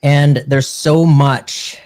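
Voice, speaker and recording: A man chatting casually and reflectively, halting as he searches for his words. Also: on the high side, steady tone, darkish tone, no echo.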